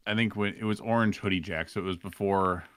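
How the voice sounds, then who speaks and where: A man's voice: lowish voice, steady tone, quick pace, a bit bright, no room sound, clean studio sound. A man chatting casually as a podcast guest, telling gaming stories. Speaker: podcast guest, game roleplay streamer. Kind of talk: casual storytelling chat.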